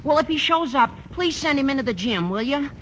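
An actor playing an eager, talkative high school student with a pompous, wordy manner in an old radio comedy. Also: male voice, lively intonation, fairly quick, a bit bright, highs cut off, slight background noise. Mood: chipper.

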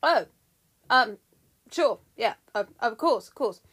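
A woman's voice: fairly high pitch, lively intonation, slow, a bit bright, slightly rough, full character voice. A British woman voicing a guilty male king of the gods in a comic myth, stammering and evasive. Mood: guilty, flustered.

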